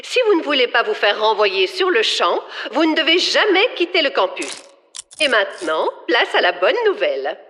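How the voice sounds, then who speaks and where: A woman's voice: on the high side, very animated, moderate pace, a bit bright. A woman playing a prim, pompous cartoon school director, enunciating ceremoniously. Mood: ceremonious announcing. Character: prim, theatrical adult woman school director laying down rules. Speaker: school headmistress character. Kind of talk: animated series dubbing, theatrical announcing. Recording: roomy echo, faint music behind.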